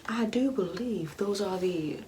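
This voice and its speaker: A woman playing a judgmental, devout, entitled Karen mother in an ASMR comedy roleplay, preachy and sassy, with whispered asides and drawn-out emphasis. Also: mid-range voice, warm tone, a little hiss or hum, lightly acted. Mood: disapproving, preachy.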